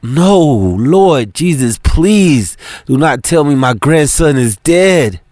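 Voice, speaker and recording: A man's voice: fairly high pitch, animated, slow pace, darkish tone, no room sound, pitched above the performer's own voice. A man voicing a worried, devout elderly grandmother who pleads and prays aloud, recorded dry and close. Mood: pleading.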